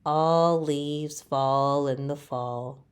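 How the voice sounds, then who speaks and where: A woman voicing a small, worried leaf who is afraid to fall, in a soft, anxious children's storybook voice. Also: low voice, fairly even intonation, extremely slow, clean tone, voice pushed down. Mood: resigned.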